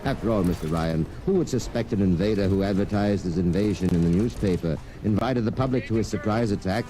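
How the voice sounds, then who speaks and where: An actor playing a suave, cold-blooded Martian invader in a 1950s radio drama, speaking with smug, polite, measured menace. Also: male voice, lowish voice, over music, natural voice. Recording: noisy old radio show recording.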